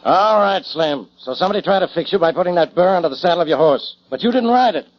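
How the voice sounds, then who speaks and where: Fast-talking, blustering police inspector. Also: male voice, high voice, animated, music in the background. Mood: exasperated, gruff. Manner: blustering, grumbling. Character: gruff, impatient, exasperated male police inspector. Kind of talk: 1940s radio detective acting. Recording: muffled lo-fi 1940s radio broadcast.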